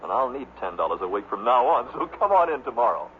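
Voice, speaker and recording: Very animated American announcer, tinny radio. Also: male voice, mid-range voice, fast. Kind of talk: radio ad read. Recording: tinny vintage radio, faint noise.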